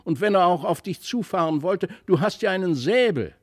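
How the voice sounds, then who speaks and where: A man's voice: high-pitched, expressive, normal speed, dry recording, clean studio sound, voice pushed up. A man voicing a spirited, scornful young boy in a fairy tale, exclaiming boastfully and dismissively. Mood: impatient reassurance. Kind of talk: storybook character reading.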